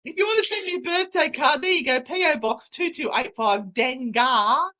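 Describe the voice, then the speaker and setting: Animated Australian woman, nearly sixty. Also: high-pitched, moderate pace. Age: 50s. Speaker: co-host of a live online show. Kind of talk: casual live-stream banter.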